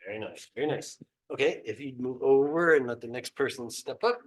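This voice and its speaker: A man's voice: mid-range voice, a little fast, poor audio. A man casually giving instructions as a teacher running a student reading. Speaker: instructor directing student readers.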